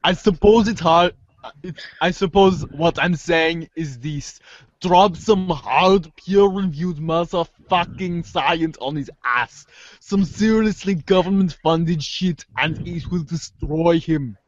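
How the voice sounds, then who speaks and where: A man's voice: a little high, takes it slowly, no echo, lo-fi sound. A man voicing a haughty, wordy teenage girl with pompous, florid phrasing in an amateur comic script reading. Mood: grandiose. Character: haughty, verbose teenage girl, snarky intellectual.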